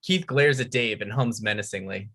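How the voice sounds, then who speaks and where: A man's voice: on the low side, moderate pace, sharp tone, slightly rough. A man reading screenplay stage directions as scripted narration.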